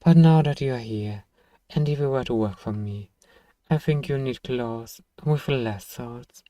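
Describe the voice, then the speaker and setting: A man playing a gruff, sardonic but grudgingly kind apothecary shopkeeper in an ASMR fantasy roleplay, dryly teasing and sighing as he talks to one listener. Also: mid-range voice, measured pace, dark tone, no echo, close to an everyday voice. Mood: teasing, softening.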